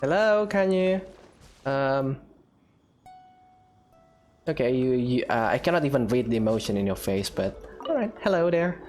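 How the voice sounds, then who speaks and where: A woman's voice: very deep voice, sing-song, measured pace, long pauses, a little mellow. A woman giving casual, offhand let's play commentary as host of a gaming video, a little hesitant. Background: game music.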